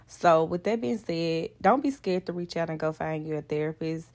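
Young woman trainer rambling in her car, kinda low and gravelly, talks to y'all. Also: normal speed, a little mellow, no echo. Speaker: fitness trainer and vlogger. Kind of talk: casual vlog talk to camera. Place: in a parked car.

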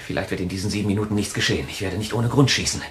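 An actor playing a cowardly, selfish lover with a revolver in a thriller radio play, tense and erratic. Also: male voice, low-pitched, very fast, roomy sound, slight background noise. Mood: grim, resigned. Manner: tense, swinging from muttering to shouting.

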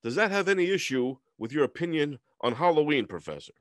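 Man doing parody newsreader, male, high voice, polite, earnest, formal delivery, dry close sound. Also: sing-song, a little fast, dry recording. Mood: mock-serious. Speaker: news host. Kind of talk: mock news anchor delivery.

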